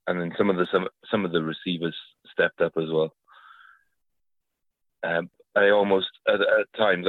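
A man's voice: low voice, dry recording. A man from the UK leaving an eager, rambling voicemail as a caller. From United Kingdom. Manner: rambling with many restarts, eager. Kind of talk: voicemail monologue. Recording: tinny phone line.

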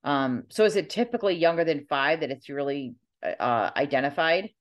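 A woman hosting an interview, chatty and quick with short reactions. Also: low voice, lively intonation, dull audio. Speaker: interview host.

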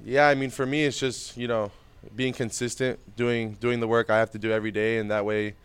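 A man's voice: medium pitch, level tone, a little fast, clear, resonant tone. A young adult man, a Spanish native speaker, answering interview questions in an easygoing way with plenty of fillers. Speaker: interview guest, baseball prospect.

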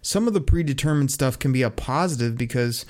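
A man co-hosting a casual sports talk show, giving relaxed, opinionated takes full of fillers. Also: mid-range voice, fairly quick. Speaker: podcast co-host. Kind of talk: casual sports analysis chat.

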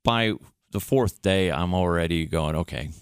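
A middle-aged American man speaks in a fairly low voice with a close, dry sound. He hosts in a casual, jokey way, starting and restarting his thoughts informally. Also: unhurried, smooth, no room sound. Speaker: podcast host. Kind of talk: casual chat.

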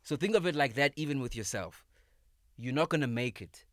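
A man in his thirties, a comedian guest in a podcast studio, talking casually, emphatic and animated, swearing freely. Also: medium pitch, fairly quick, no room sound. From South Africa. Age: 30s. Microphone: studio microphone.

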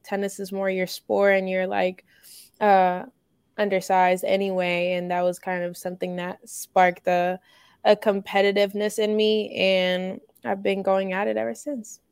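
A young woman from Finland, a college basketball guest, answering interview questions casually with many hesitations and soft laughs. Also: mid-range voice, flat delivery, unhurried, clear, resonant tone, no room sound, studio quality. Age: young adult.

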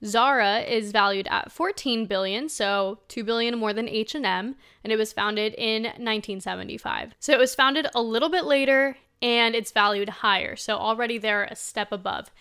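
Female host, twenties, smooth and slightly high voice, informal conversational commentary with emphatic key words. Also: normal speed, few pauses, sharp tone. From Spain. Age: 20s. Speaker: host, sustainable fashion commentator. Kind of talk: casual conversational commentary to camera.

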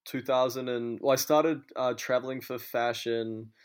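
A man's voice: medium pitch, steady tone, normal speed. A man in his thirties with a New Zealand accent, talking casually and telling stories as an interview guest. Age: 30s. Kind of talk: casual conversational storytelling.

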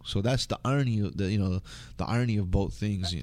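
Casual male guest, American, slightly low voice, loose and slangy, talks in meandering runs. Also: quick pace, a little mellow. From United States. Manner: rambling, slangy. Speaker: interview guest. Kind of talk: casual conversation.

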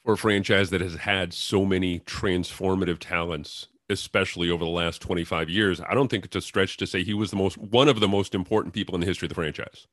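A man's voice: deep voice, fairly even intonation, quick pace, hardly any pauses, a bit bright. A man hosting a sports interview in a conversational style. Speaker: podcast host.

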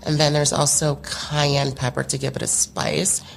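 A man's voice: medium pitch, flat delivery, a little slow, gravelly, soft background music. A man guest co-hosting a casual podcast chat, explaining a drink recipe in a chatty, upbeat way. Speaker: guest co-host and drink maker. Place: podcast table in a home studio.

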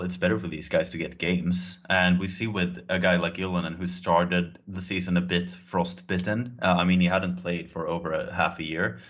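A man's voice: low-pitched, fairly bright tone. A man co-hosting a casual hockey podcast chat in an easygoing, friendly way, heard over a call. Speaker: podcast co-host. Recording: dull lo-fi call audio.

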